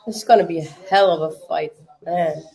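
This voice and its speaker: A woman's voice: low voice, steady tone, fairly quick, dark tone, rough recording. Young German-accented woman, casual stream host, loose and chatty, lots of fillers. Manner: chatty, many fillers, answers chat. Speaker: livestream watch-party host. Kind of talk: casual livestream chat.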